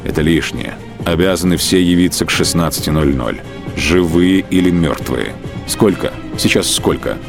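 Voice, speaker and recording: A man's voice: low-pitched, moderate pace. A man reading scripted literary narration as the narrator of a radio drama. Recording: studio narration over clear music bed. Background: music.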